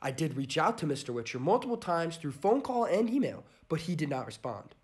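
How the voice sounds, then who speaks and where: A man's voice: fairly high pitch, sing-song, fairly quick, husky. A young adult man with an American accent reading scripted narration as a student TV news reporter.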